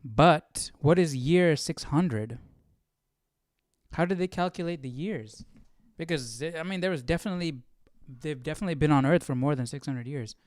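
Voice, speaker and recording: A man with a smooth, clear voice talks in a very dry space. He hosts casually, reading aloud and questioning as he goes, with relaxed slang and a thinking-out-loud manner. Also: a little high, normal speed, dry recording. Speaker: live-stream host. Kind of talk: casual study-along chat and reading aloud.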